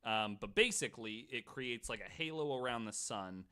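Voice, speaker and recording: A man's voice: medium pitch, animated, moderate pace, bright, forceful tone, smooth. A man hosting a casual podcast, telling a story with plenty of swearing and "like" thrown in. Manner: swears freely; peppers talk with "like" and "right". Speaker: podcast host.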